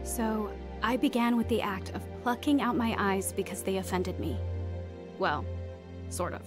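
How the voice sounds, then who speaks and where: A woman's voice: medium pitch, moderate pace, music in the background, close to an everyday voice. A woman playing an earnest, repentant teenage girl in an audio drama, speaking in a confessional way. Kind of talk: dramatized first-person narration and dialogue.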